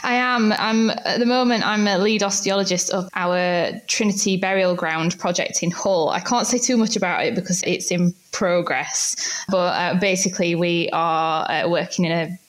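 Low-pitched Yorkshire woman, casual interview guest. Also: moderate pace. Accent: Yorkshire English. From Sheffield, England. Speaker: podcast guest, archaeologist and lecturer.

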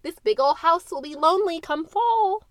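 A woman's voice: very high voice, lively intonation, normal speed, no echo, clean studio sound, voice pushed up. A woman voicing a kindly older lady who calls out fondly in a sweet, lilting way.

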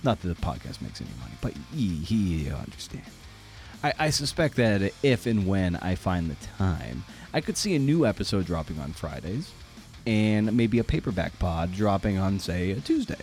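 A man's voice: low voice, sing-song, normal speed, darkish tone, faint music behind. A man hosting his podcast, chatting casually and wryly through plugs and the episode intro with self-deprecating asides. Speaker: podcast host.

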